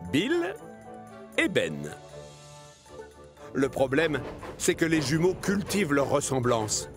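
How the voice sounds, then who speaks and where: A man narrating a children's cartoon in French dub as an expressive storyteller. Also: a little high, slow, music in the background.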